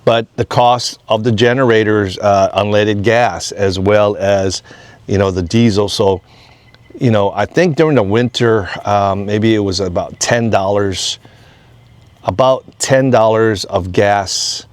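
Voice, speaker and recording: A man's voice: fairly low pitch, unhurried, dry recording. An American man from Hawaii giving a casual, unscripted homesteading update in a plain-spoken, rambling way. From Hawaii, United States. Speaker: off-grid homesteading vlogger.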